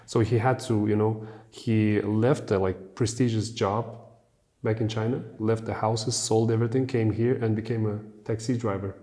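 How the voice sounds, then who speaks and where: Mellow, low-voiced male podcast host. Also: moderate pace. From Kazakhstan. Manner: lively, anecdotal storytelling with frequent "you know". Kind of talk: casual conversation.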